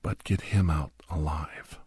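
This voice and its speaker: A man tells a horror story in breathy ASMR whispers, solemn, dramatic and deliberate, close to the microphone. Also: a bass voice, fairly even intonation, darkish tone, dry recording. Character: male doctor solemnly recounting a werewolf horror tale.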